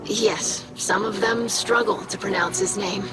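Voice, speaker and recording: An actress voicing a polite, eager young alien scribe in a video game, speaking formally and precisely. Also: female voice, medium pitch, steady tone, unhurried, loud music behind the voice, lightly acted, low-quality recording. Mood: earnest, hopeful. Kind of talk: video game cutscene acting.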